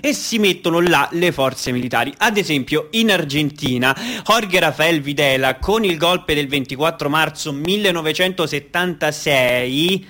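A man's voice: on the high side, expressive, normal speed, hardly any pauses, pushed and bright. An actor playing a patient but exasperated man in a stage play, explaining things didactically and conversationally. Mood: grave, insistent. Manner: didactic, insistent, checks for understanding.

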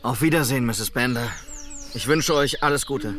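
A man's voice: medium pitch, normal speed, fairly bright tone, faint music behind, natural voice. A man playing a determined, commanding sergeant in a western radio drama. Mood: subdued farewell. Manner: commanding, urgent calls. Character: urgent, determined male sergeant from the city out west.

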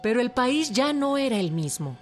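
A woman's voice: fairly high pitch, big pitch swings, normal speed, loud music behind the voice. A woman delivering scripted narration for a historical radio drama.

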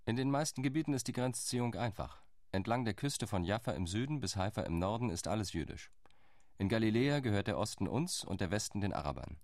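A man's voice: on the low side, animated, quick pace, a little mellow, no echo, clean studio sound. A man playing a determined partition lobbyist. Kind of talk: radio play monologue.

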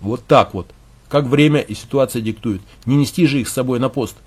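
A man's voice: medium pitch, brisk, husky, natural voice. A man voicing a blunt, commanding war veteran who speaks curtly and with scorn. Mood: stern. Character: tough, blunt male leader, war veteran.

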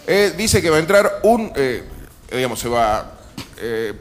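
Animated, high-pitched Argentine man. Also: a little slow. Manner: joking, mock-serious. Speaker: radio host. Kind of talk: comic political banter with co-hosts. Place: live radio broadcast from a bar.

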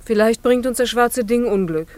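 An actress playing an uneasy, superstitious wife in a German radio play, speaking with apprehensive wonder. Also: female voice, a little high, moderate pace, a little mellow, no room sound, close to an everyday voice.

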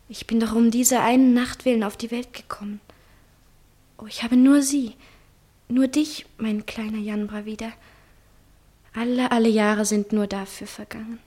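An actress playing a tender, yearning young woman in love in a 1960s German radio play, breathy and fervent, often dropping to a whisper. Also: on the high side, darkish tone. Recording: 1960 radio drama, faint music.

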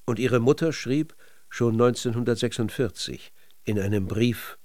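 A man narrating a literary radio documentary in a measured, sober manner. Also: mid-range voice, darkish tone, no room sound.

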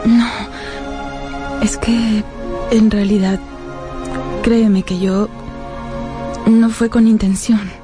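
An actress playing a shy, gentle young woman in love, speaking hesitantly and softly in a radio drama. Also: mid-range voice, level tone, extremely slow, long pauses, over music. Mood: nervous, apologetic. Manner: hesitant, soft-spoken.

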